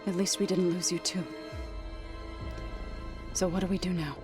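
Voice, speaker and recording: A woman voicing a calm, steady, loyal comrade in a video game, with music behind her. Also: lowish voice, normal speed, natural voice. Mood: somber concern. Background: background music.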